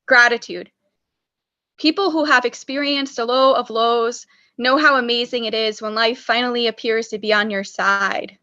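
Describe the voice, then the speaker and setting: A woman performing her spoken word poetry at an online open mic, reciting in a measured, earnest way. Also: fairly high pitch, fairly even intonation, bright, forceful tone, muffled recording. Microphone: video call.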